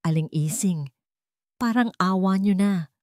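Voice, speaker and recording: A woman's voice: mid-range voice, measured pace, dark tone, dry recording, clean studio sound, natural voice. A woman voicing a desperate young mother who pleads, in a radio drama. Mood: pleading.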